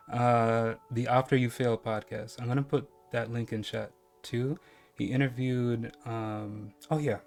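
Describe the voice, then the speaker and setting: Chatty New York man, Caribbean lilt. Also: medium pitch. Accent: slight Caribbean. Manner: rambling, chatty, lots of fillers. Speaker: streamer. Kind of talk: casual live-stream chat. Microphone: headset.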